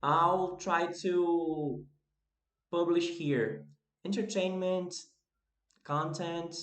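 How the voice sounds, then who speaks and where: Slow, easygoing Brazilian man in a roomy space. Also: fairly high pitch. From northeast Brazil. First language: Portuguese. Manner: relaxed, restarts phrases, laughs at himself. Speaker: YouTuber and English teacher. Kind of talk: casual unscripted monologue to camera. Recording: echoey room.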